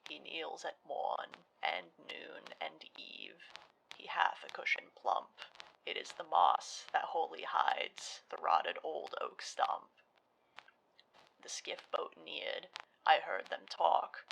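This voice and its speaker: A man reciting a classic poem in a slow, dramatic way that drifts into whispers. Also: fairly high pitch, very animated, gravelly, a bit bright, poor audio. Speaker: poetry reader.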